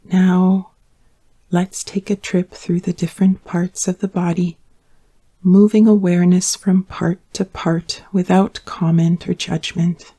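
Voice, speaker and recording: Female meditation guide, mellow and dark in tone, steady and even in pitch, soothing, unhurried delivery. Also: breathy edge. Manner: calm, soothing, unhurried instruction with long pauses. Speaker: yoga nidra meditation guide.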